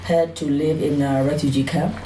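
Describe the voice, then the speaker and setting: A low, mellow female voice with a Burmese accent, a native Karen speaker. She tells her personal story in an interview, plainly and a little hesitantly, often adding "you know". The recording is roomy and echoey, picked up from a distance. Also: level tone, moderate pace. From Burma, Karen community. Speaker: interview guest. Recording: roomy echo, distant mic, faint noise.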